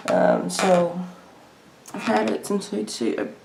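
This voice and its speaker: A woman giving a casual, unscripted show-and-tell at home in a halting way, as a hobbyist vlogger. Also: mid-range voice, fairly even intonation, creaky, a little mellow. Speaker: weather radio hobbyist vlogger. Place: indoor room at home. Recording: noisy homemade video with clicks.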